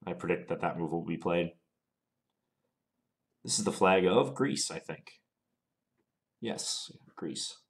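Deep-voiced man calmly analysing chess moves. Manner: calm, measured, analytical. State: has a slight cold. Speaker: chess commentator playing online. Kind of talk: thinking aloud during a chess game.

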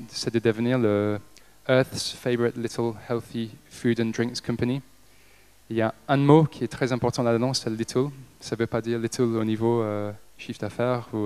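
Halting Englishman with a smooth voice. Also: mid-range voice, flat delivery, darkish tone. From Liverpool, England. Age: 30s. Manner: halting, frequent hesitations and restarts. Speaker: company director giving a talk. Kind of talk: stage talk to an audience. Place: stage in an event hall with a seated audience. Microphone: handheld microphone.

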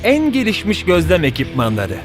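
A man's voice: high voice, huge pitch swings, normal speed, over music. A man voicing an eager, scheming henchman who presents gadgets and hypnosis tricks in a showy way in a dubbed cartoon. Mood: showing off.

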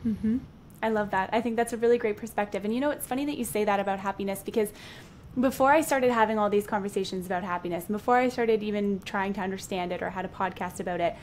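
A woman's voice: mid-range voice, quick pace, darkish tone, slight background noise. A young woman hosting a podcast over a video call, enthusiastic and affirming, chatting casually with lots of "you know". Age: young adult. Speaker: podcast host. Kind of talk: casual interview conversation. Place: indoor room. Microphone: headset on video call.

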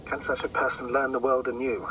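An actor playing an analytical male mission commander in a space game, speaking formally and deliberately over a radio. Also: mid-range voice, little pitch movement. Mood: grave. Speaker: astrogator, superior officer. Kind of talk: dramatic game voice acting. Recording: slightly noisy, distant radio link.

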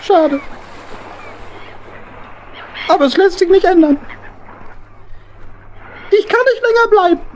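A woman's voice: extremely high pitch, animated, slow pace, long pauses, a little hiss or hum, full character voice. A woman voicing a small, sad male hedgehog in a children's audio play, plaintive and dramatic. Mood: wistful, sad.

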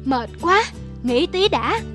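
A woman's voice: high-pitched, animated, slow pace, full character voice. A woman voicing a poor, kindly old farmer who talks fondly to his dog in a cartoon. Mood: curious. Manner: gentle, fond toward his dog. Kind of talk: cartoon voice acting. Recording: music playing underneath.

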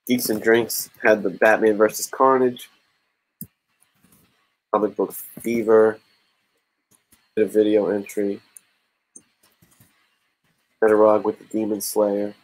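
Casual low-voiced man hosting a raffle. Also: level tone, takes it slowly, pauses often, low-quality recording. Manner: casual, chatty, counting aloud with laughs. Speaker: YouTube comic channel host. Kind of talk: casual livestream raffle chat.

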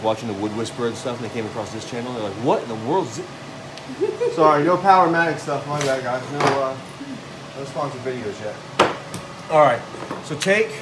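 A man's voice: on the high side, noisy background. Tired joking man in a garage. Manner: joking, self-mocking, talks while working. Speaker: vlogger building a squirrel table. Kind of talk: casual how-to woodworking vlog. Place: home garage workshop. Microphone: handheld phone camera.

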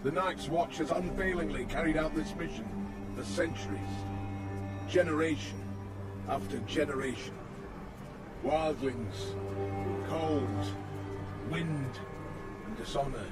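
Stern old male commander, fantasy game, slow formal oratory, fairly high-set voice, music behind. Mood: stern, solemn. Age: older. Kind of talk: dramatic fantasy game acting.

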